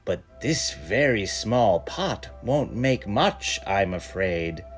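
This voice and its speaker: A man voicing a gentle, wise traveling monk in a storybook, with slow, deliberate, kindly speech. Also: lowish voice, expressive, faint music behind, voice pushed down. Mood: calm, assured.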